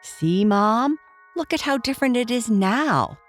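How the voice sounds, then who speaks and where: A woman voicing an earnest, excitable young girl who pleads with her mother in a children's bedtime story. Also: on the high side, unhurried, soft background music, voice pushed up. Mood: eager.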